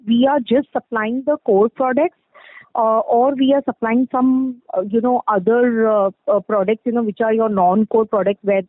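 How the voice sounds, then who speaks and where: A woman's voice: fairly high pitch, dry recording. A woman with an Indian accent asking business questions as an analyst on a phone call, in a hesitant, rambling way. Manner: hesitant, rambling phrasing with frequent "you know". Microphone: telephone handset. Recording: tinny narrow phone line.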